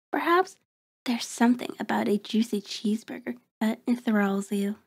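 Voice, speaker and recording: A predatory female vampire acted by a woman toys with her prey in an ASMR horror roleplay. Her voice sits a little high yet is very mellow and dark in tone. She speaks very slowly, with haughty, mocking, formal diction. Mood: disdainful. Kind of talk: ASMR horror roleplay, intimate scripted monologue.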